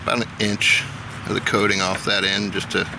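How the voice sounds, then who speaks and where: American male hobby vlogger, gravelly and fairly low, unhurried DIY walkthrough, noisy outdoor sound. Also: monotone. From Indiana, United States. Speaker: amateur radio hobby vlogger. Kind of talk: casual how-to demonstration.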